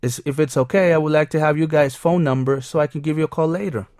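A man's voice: on the high side, fairly even intonation, fast, darkish tone, no echo, lightly acted. Teen schoolboy, read by a man, cheerful textbook dialogue, close and dry. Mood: friendly. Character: friendly teen schoolboy, seventeen.

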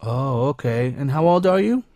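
A man playing a polite twenty-two-year-old engineering student who spells words out clearly. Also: mid-range voice, animated, moderate pace, dark tone, no echo, clean studio sound, lightly acted.

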